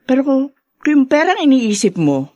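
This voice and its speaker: An actress playing a doting, brash middle-aged Filipino mother in a radio drama, expressive and teasing. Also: sits high, moderate pace, no echo, dull audio. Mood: insistent, doting.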